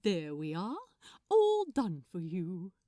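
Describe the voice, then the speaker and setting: A woman voicing a kindly, cheerful man who talks in a bright, polite and gently effusive way. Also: lowish voice, wildly swooping pitch, slow, dry recording, studio quality, voice pushed down, exaggerated voice. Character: kindly, cheerful, polite male angelic gift-wrapper.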